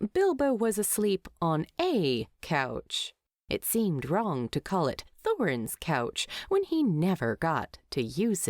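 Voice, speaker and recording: Slow, expressive woman storyteller. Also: on the low side, no room sound, studio quality. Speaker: amateur audiobook narrator. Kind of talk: expressive scripted story narration.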